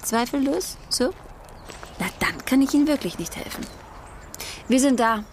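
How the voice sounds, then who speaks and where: A woman's voice: sits high, expressive, moderate pace, slight background noise. An actress playing a haughty, opinionated young woman in a radio drama, speaking pointedly. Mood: piqued, cool.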